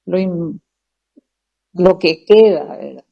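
A woman with a Paraguayan Spanish accent, answering deliberately in a conversational interview, often repeating phrases as she builds her point. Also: fairly low pitch, vocal fry, breathy edge. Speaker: interview guest, educator. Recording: dull lo-fi muffled sound.

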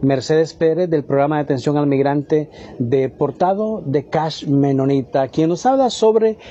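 A man's voice: a little high, a little slow, mellow, slight background noise. A man giving a friendly, conversational radio field report. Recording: dull lo-fi feed.

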